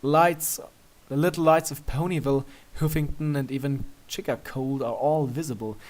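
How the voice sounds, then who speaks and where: Man narrating fan fiction, mid-pitched voice. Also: fairly even intonation, normal speed, darkish tone. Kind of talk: scripted story narration.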